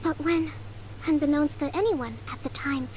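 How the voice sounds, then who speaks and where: An actress voicing a composed young female general who explains things calmly. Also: high voice, normal speed, a little hiss or hum, close to an everyday voice, low-quality recording. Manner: calm and explanatory. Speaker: general and strategist.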